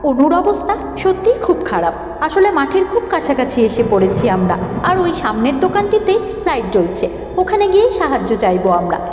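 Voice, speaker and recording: A woman's voice: high-pitched, very animated, fairly quick. A woman voicing a timid, frightened schoolgirl who dramatically tells her ghost story in a cartoon. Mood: anxious, dramatic. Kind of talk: dramatic horror story narration. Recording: echoing, long reverb, muffled lo-fi, music. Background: music.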